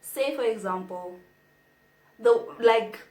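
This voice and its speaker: A young woman chats through advice in a relaxed, playful way. She talks at an unhurried pace and often glances at her notes. Her voice echoes noticeably in the room. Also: fairly high pitch, background noise. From South Africa. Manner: chatty and playful, reads from notes. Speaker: vlogger. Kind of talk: casual advice vlog.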